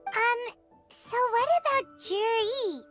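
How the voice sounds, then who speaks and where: A woman's voice: very high voice, slow, fairly bright tone, faint music behind, full character voice. A woman voicing a small, curious, chirpy childlike companion in a video game, exclamatory and easily startled. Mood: puzzled. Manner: exclamatory, inquisitive, informal.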